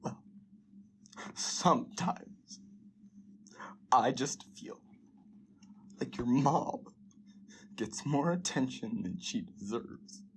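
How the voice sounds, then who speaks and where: A woman playing a bitter, neglected husband in an audio drama, halting, sarcastic and aggrieved. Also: a bass voice, lively intonation, a little hiss or hum, exaggerated voice, poor audio. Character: resentful, neglected, bitter husband in a failing marriage.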